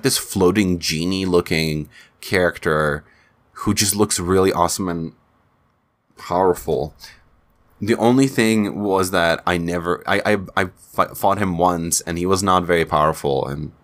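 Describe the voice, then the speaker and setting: Deep-voiced man. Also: a little slow, a bit bright. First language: Czech. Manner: rambling, searches for words, frequent restarts. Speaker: video game commentator. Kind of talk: casual game playthrough recap.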